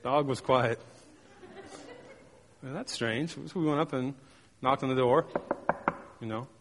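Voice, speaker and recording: A man's voice: mid-range voice, unhurried, big-room sound, background noise. A man delivering a sermon, telling personal stories with emphatic repetition and playful sound effects. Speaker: pastor.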